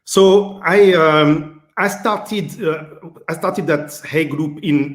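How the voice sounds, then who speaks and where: A man from Martinique with a French accent, answering interview questions as a guest executive, hesitant and full of fillers. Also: on the high side. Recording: roomy echo.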